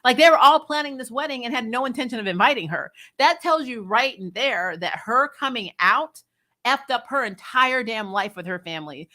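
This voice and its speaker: Bright-voiced woman chatting casually. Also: a little high, normal speed. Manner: animated storytelling, laughs often, frank and salty. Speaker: host of a TV recap show. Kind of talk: casual chatty episode review, reacting to live comments.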